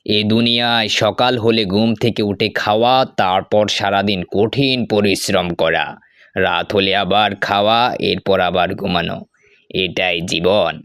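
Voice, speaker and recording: Deep smooth sly hermit king, played by a man. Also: steady tone, measured pace, a bit bright, no room sound, studio quality. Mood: coaxing, avuncular. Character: sly male hermit who is secretly an island king. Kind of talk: animated story character acting.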